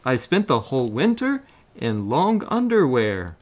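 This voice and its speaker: A man's voice: medium pitch, wildly swooping pitch, unhurried. A man voicing an aggrieved, complaining bear in a children's storybook. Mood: indignant, pleading. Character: aggrieved bear complaining about lost hair.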